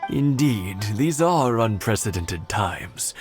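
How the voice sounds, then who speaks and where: Kindly, low-voiced elderly gentleman. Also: animated, slow, music in the background. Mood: warm, grateful. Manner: gracious, gently formal. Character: kindly, courteous elderly man, a grateful old customer. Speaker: voice actor in a video game. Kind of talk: gentle game voice acting.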